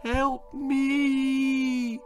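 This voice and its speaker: Man putting on a high, faraway, pleading ghost. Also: completely flat delivery, drawn-out words, faint music behind, exaggerated voice. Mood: plaintive pleading. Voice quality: faint, plaintive, faraway. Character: ghost with faraway, sad, lonely, plaintive voice. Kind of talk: children's horror-comedy audiobook storytelling.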